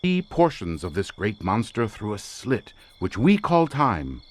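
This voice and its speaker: An actor playing an intense, imperious occult researcher in an audio drama, speaking in a formal, grandiloquent, theatrical manner. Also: male voice, fairly low pitch, huge pitch swings, unhurried. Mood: rapturous.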